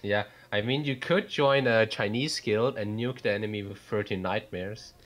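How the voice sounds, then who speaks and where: A man's voice: lowish voice, normal speed. Curious, teasing man in gaming chat. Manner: curious, teasing, asks lots of questions. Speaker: podcast co-host.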